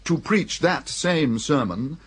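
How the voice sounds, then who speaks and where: An actor playing a deferential, unflappable English valet, formal, measured and drily understated, in a radio comedy. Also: male voice, fairly high pitch, expressive, fairly bright tone, slightly rough, heavily acted. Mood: tactful suggesting. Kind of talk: radio comedy drama acting.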